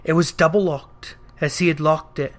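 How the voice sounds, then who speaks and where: A man reading a classic tale aloud as scripted narration, with a measured, dramatic delivery. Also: a little high, fairly even intonation. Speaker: audiobook reader.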